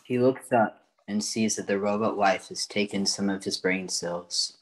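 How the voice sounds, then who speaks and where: An older man with a fairly low voice acts as announcer for an amateur radio play. He reads out scripted narration, setting the scene and describing what the characters do. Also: steady tone, measured pace, rough recording.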